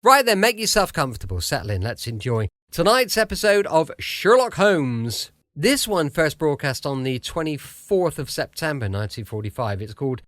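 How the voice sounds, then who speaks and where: Lively British man hosting a podcast. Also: a little high, moderate pace, few pauses, no echo, studio quality. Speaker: podcast host. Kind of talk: chatty podcast introduction. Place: home studio.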